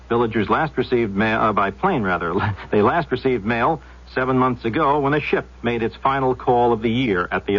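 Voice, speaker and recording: A man working as a radio news reporter, delivering a scripted report. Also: mid-range voice. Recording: muffled 1970s radio broadcast.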